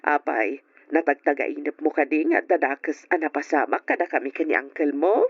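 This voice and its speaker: A woman's voice: low-pitched, moderate pace, breathy edge, no echo. A woman acting a caring, motherly older aunt in an Ilocano radio drama, with an Ilocano accent and solicitous delivery. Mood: concerned. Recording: dull lo-fi radio drama recording.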